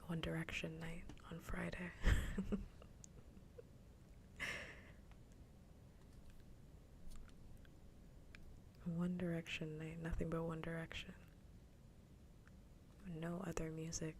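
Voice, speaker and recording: A woman livestream host chatting casually and ramblingly with viewers in a soft, breathy, half-whispered ASMR voice, close to the microphone. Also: sits low, completely flat delivery, normal speed, a little hiss or hum. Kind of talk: soft-spoken ASMR chat stream.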